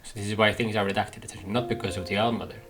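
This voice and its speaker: A man giving casual, analytical reaction commentary as a gamer, halting as he thinks aloud. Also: lowish voice, steady tone. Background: game music.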